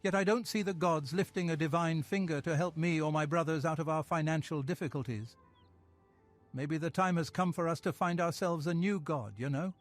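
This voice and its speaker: A man's voice: fairly high pitch, fairly even intonation, normal speed, soft background music, close to an everyday voice. A man playing a poor, pious commoner in a fantasy game, earnest and colloquial. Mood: musing. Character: pious, poor male commoner, earthy and plainspoken.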